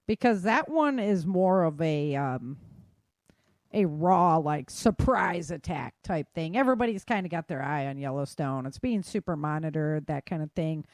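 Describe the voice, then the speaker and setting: A woman's voice: sits low, lively intonation, moderate pace, a little mellow, no echo. Chatty informal woman podcast host, dry sound. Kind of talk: casual news roundup of world weather and disasters.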